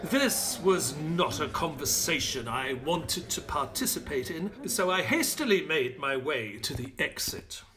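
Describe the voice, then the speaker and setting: An actor playing a proper, reflective middle-aged army doctor, narrating formally and with measure in a British accent. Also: male voice, on the high side, lively intonation, unhurried, hardly any pauses, a crowd in the background. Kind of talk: dramatic first-person scripted narration.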